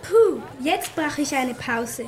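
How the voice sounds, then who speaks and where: A woman's voice: sits high, expressive, slow, slight background noise. A female performer playing a practical, inquisitive young girl sleuth in a children's radio play. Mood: casual.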